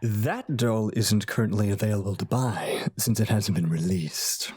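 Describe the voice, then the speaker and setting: A man's voice: fairly low pitch, unhurried, a little mellow, slightly rough, no echo, clean studio sound. An actor playing a patient male shop owner in a radio drama, courteous and formal. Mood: politely firm. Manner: courteous, formal salesman patter.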